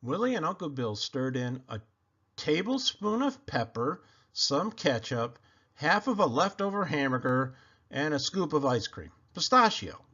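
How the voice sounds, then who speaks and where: A man reading a children's picture book aloud in an expressive, animated and deliberate storytelling manner. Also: a little high, breathy edge, muffled recording.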